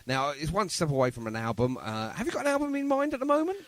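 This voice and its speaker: Chatty male radio host with lively pitch. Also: fast, no room sound. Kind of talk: casual radio interview and chat. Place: radio studio.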